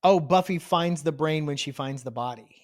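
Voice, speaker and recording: A man's voice: on the high side, moderate pace, darkish tone, dry recording, studio quality. A man co-hosting a podcast, casually recapping a TV episode and jokingly acting out characters' reactions. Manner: jokey, acts out characters' reactions in mock quotes. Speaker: podcast co-host.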